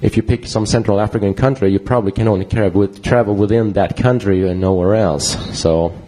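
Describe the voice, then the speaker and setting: A man's voice: on the low side, steady tone, brisk, mellow, poor audio. A man with a Swedish accent presenting a talk with slides to an audience, casual and rambling with fillers and restarts. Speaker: conference presenter.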